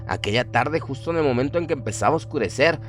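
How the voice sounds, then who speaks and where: A man's voice: medium pitch, lively intonation, fast. A man narrating a scripted horror story in a dramatic radio style. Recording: prominent music under the voice. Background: music.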